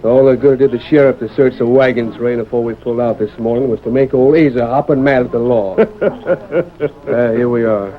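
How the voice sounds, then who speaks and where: An actor playing a scoffing, uneasy outlaw sidekick with a folksy rustic western drawl in old-time radio style. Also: male voice, medium pitch, normal speed, natural voice. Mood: amused. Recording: muffled vintage radio broadcast.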